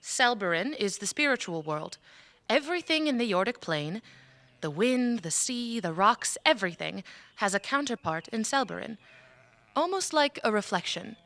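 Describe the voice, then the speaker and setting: A woman playing a patient, scholarly mage in a fantasy audio drama, speaking in a measured, formal, instructive way. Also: medium pitch, lively intonation, fairly bright tone. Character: scholarly female mage patiently teaching magic. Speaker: voice actor.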